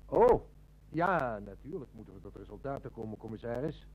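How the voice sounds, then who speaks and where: Seasoned, mellow-voiced police inspector. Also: male voice, mid-range voice, expressive, moderate pace, slightly rough, muffled recording. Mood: self-assured. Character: seasoned, confident police inspector trusting his instinct. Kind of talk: Dutch radio play acting.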